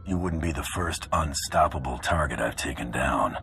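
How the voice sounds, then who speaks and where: An actor playing a curt, no-nonsense male bounty hunter with a deep, gravelly voice in a video game. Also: moderate pace, fairly bright tone, soft background music, full character voice. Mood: cold resolve. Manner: curt, cool, intimidating. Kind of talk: video game cutscene acting.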